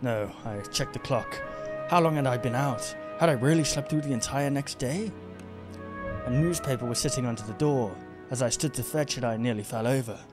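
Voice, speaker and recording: A rain-soaked crime game's narrator, a haunted detective acted by a man brooding in measured lines over the soundtrack. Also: mid-range voice, music in the background, lightly acted. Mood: grim, anxious. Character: brooding, haunted noir male detective, self-doubting monster.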